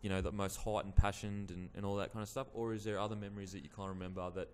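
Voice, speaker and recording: A man's voice: fairly low pitch, level tone, quick pace, a little mellow, clean tone. A young man hosting an interview, asking long rambling questions with restarts. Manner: rambling questions, frequent restarts and "yep" backchannel. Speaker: podcast host. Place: small studio with dark curtain backdrop. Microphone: studio mic on boom arm.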